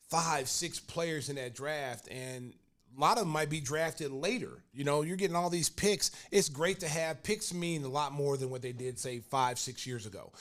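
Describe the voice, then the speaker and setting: An older man from Oklahoma, a podcast host and former basketball player, telling sports stories in casual talk full of fillers. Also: on the high side. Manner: animated, laughing storyteller with many fillers.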